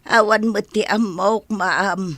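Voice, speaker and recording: An actress playing a timid, evasive housemaid who answers with deferential stammering in a radio drama. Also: female voice, mid-range voice, fairly even intonation, slow, a bit bright. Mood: nervous, evasive.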